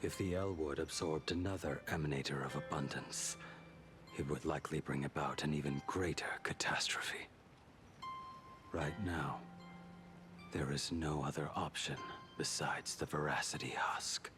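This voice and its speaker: A man's voice: very deep voice, fairly even intonation, a little slow, over music. An actor voicing a stoic, resolute man in a video game, speaking in terse, restrained phrases with blunt resignation. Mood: grave, restrained. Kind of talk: scripted video game voice acting.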